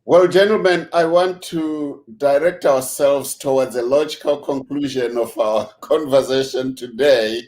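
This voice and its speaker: A man hosting a video call discussion, measured and unhurried, with many fillers as he thanks his guests. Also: mid-range voice, darkish tone. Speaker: host.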